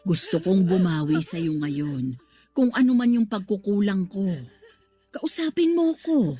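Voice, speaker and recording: A middle-aged mother played by a woman in a radio drama, soothing and evasive. Her pitch swings expressively, with faint music underneath. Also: lively intonation, a little slow, warm tone, close to an everyday voice. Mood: defensive, apologetic. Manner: placating, soothing. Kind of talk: Tagalog radio drama acting.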